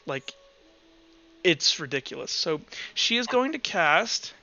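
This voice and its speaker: A man's voice: a little high, very animated, slow, pushed and bright, lo-fi sound. A man running a casual tabletop roleplay session as game master, speaking bluntly and swearing casually. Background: faint music.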